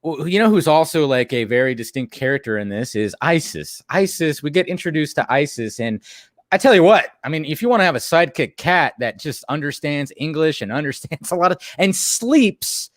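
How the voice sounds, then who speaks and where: A man co-hosting a stream, chatting casually and rambling, with a laugh, his voice a bit deeper than usual after a late night. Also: animated, fairly quick, no room sound, studio quality. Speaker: co-host of a watch-along stream. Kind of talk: casual commentary chat.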